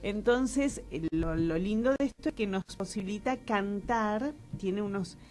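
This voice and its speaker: A woman's voice: medium pitch, measured pace, a little mellow. A woman with an Argentine Rioplatense accent, an actress talking conversationally as an interview guest.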